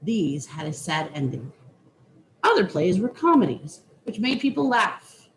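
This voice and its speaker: A woman reading a children's nonfiction book aloud with deliberate, teacherly phrasing. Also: on the low side, big pitch swings, a little hiss or hum, rough recording. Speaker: reader.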